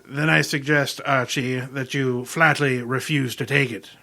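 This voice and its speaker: An actor playing an imperious, unflappable genius detective in old-time radio style, formal, precise and pompous. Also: male voice, medium pitch, steady tone, unhurried, creaky, sharp tone. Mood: commanding.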